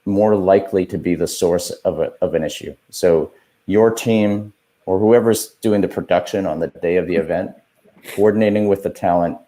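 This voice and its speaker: A man giving casual advice in a business meeting, explaining things in a measured, careful way. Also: sits low, dark tone. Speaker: streaming software adviser.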